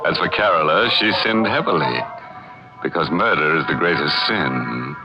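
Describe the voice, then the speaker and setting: An actor playing a gleefully morbid, wisecracking horror host on a 1940s radio show, sardonic and theatrical, fond of ghastly puns. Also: male voice, very deep voice, expressive, slow pace, vocal fry, exaggerated voice. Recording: muffled old radio recording, loud organ music.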